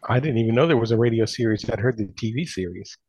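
A man in casual, unscripted conversation. His voice sits at an average pitch and sounds dry, with no room echo. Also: fast, a little mellow, slightly rough, lo-fi sound. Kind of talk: casual chat.

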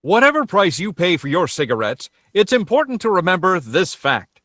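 A man's voice: high-pitched, sing-song, normal speed, fairly bright tone, soft background music. A man with an American accent giving polished, emphatic scripted narration as a 1940s radio announcer. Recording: vintage radio broadcast.

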